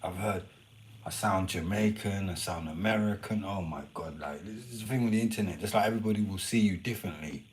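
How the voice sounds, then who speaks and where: A man's voice: deep voice, fairly quick, a little hiss or hum. A man casually chatting and rambling to his viewers on a live stream, swearing now and then. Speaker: livestreamer.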